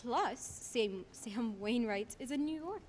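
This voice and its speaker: A woman's voice: on the high side, animated, takes it slowly, a little mellow. A woman playing a fond, matchmaking mother who nags her son in a radio play, in an echoey hall. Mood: insistent. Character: fond, nagging, matchmaking middle-aged mother.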